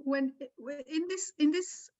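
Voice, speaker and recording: A woman's voice: high-pitched, unhurried, darkish tone, highs cut off. A woman playing a creepy, clingy elderly male president in an improv comedy sketch, in a wheedling, pleading manner. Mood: smug, creepy.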